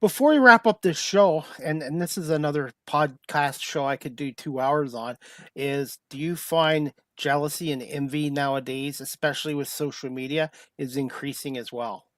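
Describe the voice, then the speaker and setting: A man's voice: on the high side, moderate pace, a bit bright, no echo. A man hosting a talk show, asking questions in a conversational interviewing style.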